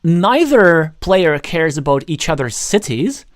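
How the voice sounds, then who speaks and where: A man's voice: fairly high pitch, sing-song, slow pace, no room sound, clean studio sound. A man whose first language is Russian, giving live board game commentary in an analytical way, thinking aloud as he goes. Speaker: tournament commentator.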